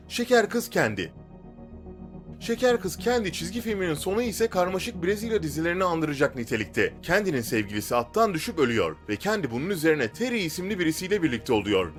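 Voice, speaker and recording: Male narrator, scripted countdown read, highly animated pitch, music underneath.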